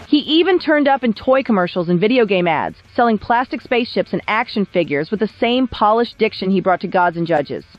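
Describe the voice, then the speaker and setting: A woman reading scripted documentary narration in a measured, literary storytelling manner. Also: medium pitch, lively intonation, highs cut off. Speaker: narrator of video essay. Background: background music.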